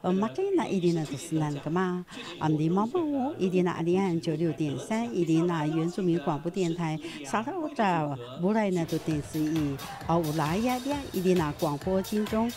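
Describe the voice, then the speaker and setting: A woman's voice: lowish voice, expressive, a little fast, few pauses, music in the background. A middle-aged woman, a native Pinuyumayan speaker, reading scripted news as a presenter, with small fillers between phrases.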